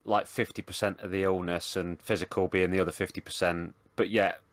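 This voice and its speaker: A man's voice: sits low, little pitch movement, fairly quick, no echo. A man co-hosting a podcast, talking casually in a dry, matter-of-fact way. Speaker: podcast co-host. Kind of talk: casual chat.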